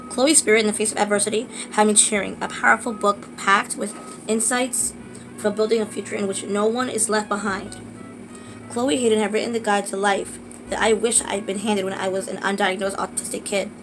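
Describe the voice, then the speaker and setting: A woman with a sore throat, reading a book aloud slowly in a casual vlog, with halting restarts and asides. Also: medium pitch, noisy background.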